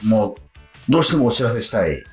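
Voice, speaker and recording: A male radio host with a very lively, swinging intonation, chatting casually with a co-host. His delivery is halting and playfully incredulous. The sound is roomy, with light music underneath. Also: mid-range voice, vocal fry. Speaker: radio show host and actor.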